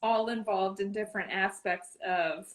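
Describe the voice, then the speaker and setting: A woman's voice: medium pitch, fairly even intonation, moderate pace. A woman hosting a casual interview, upbeat and encouraging, laughing easily. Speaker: interviewer host.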